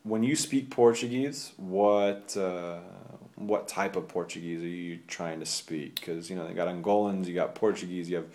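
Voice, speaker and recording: A man's voice: sits low, normal speed, a little mellow. A man hosting a casual conversation, chatty and rambling, asking questions and telling stories. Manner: chatty, rambling, laughs at his own stories. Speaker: podcast host.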